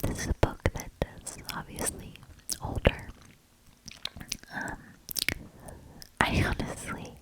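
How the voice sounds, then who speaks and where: A woman reading a storybook aloud for ASMR in a breathy whisper, gentle and deliberate, lingering between short phrases. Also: low-quality recording.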